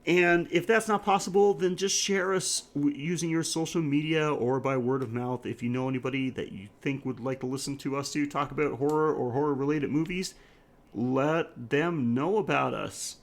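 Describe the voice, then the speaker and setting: A man's voice: on the high side, moderate pace. A man co-hosting a podcast, chatting casually through the show's sign-off and listener plugs. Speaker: podcast co-host. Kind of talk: casual podcast outro chat.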